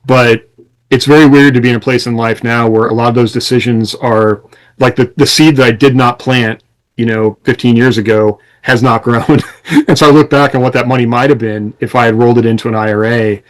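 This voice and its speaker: A man's voice: medium pitch, steady tone, fairly quick, rough recording. A man talking casually as a podcast co-host, explaining things and reflecting through personal anecdotes.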